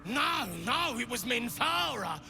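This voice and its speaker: A male actor playing a cowering, excuse-making underling soldier in a fantasy game, pleading and defensive with rough grammar. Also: extremely high pitch, very animated, takes it slowly, over music, heavily acted. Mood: panicked pleading.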